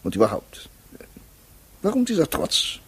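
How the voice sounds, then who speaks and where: Husky, exasperated defense lawyer. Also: male voice, a little high, wildly swooping pitch, measured pace, exaggerated voice. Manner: agitated, insistent, pleading. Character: exasperated, officious defense lawyer, pleading and earnest. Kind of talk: 1950s German radio drama acting.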